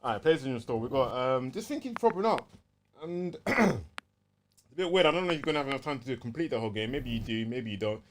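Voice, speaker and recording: London guy, mid pitched voice, easygoing slangy game chat on a podcast. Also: lively intonation, fast, a little hiss or hum. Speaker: podcast co-host. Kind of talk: casual gaming chat.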